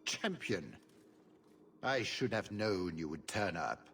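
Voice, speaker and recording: Haughty, animated scheming nobleman. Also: low voice, slow pace, slight background noise, full character voice. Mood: threatening. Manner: disdainful, imperious. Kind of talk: video game cutscene acting.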